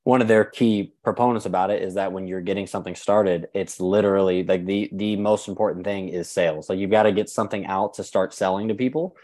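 A man's voice: sits low, steady tone, a little fast, few pauses, a little mellow. A man hosting a podcast, chatting in an easygoing way with enthusiastic reactions. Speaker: podcast host. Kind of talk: casual interview chat.

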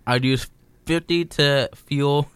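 A man's voice: a little high, fairly even intonation, slow, fairly bright tone, smooth, no echo. A man co-hosting a casual radio show in a studio, joking and laughing often. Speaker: radio co-host.

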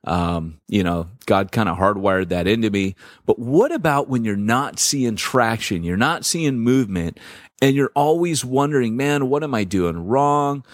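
A man co-hosting a podcast in casual banter, joking and self-deprecating, with a deep voice and lots of filler words. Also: lively intonation, measured pace, dry recording, clean studio sound. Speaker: podcast co-host.

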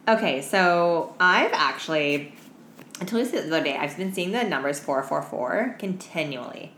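Young female podcast co-host, notably low pitch, tired, blunt slangy chatter, recorded in a noisy room. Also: lively intonation, normal speed, fairly bright tone. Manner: slangy, blunt, heavy use of "like" and "um". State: tired, no sleep. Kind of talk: casual chat, telling work anecdotes. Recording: echoey room with clear background noise.

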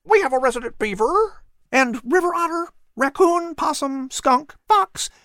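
A woman's voice: high voice, expressive, a little slow, no room sound, clean studio sound, voice pushed up. A woman voicing a small, chirpy female chickadee in a storybook. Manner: bright and chirpy. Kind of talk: storybook reading.

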